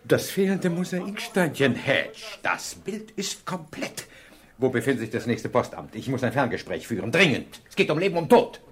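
An actor playing a brilliant, pompous professor detective in a radio crime play, with ornate, pedantic phrasing and an impatient, commanding manner. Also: male voice, mid-range voice, big pitch swings, normal speed, fairly bright tone. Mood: triumphant, urgent. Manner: pedantic, ornate, theatrical delivery.

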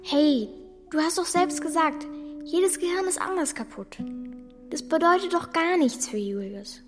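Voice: very high-pitched, measured pace, a bit bright, soft background music. A young performer playing a frank, resolute girl with a blunt, reassuring manner in a German children's radio drama. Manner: blunt, matter-of-fact, reassuring. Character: frank, resolute girl, the boy's protective companion.

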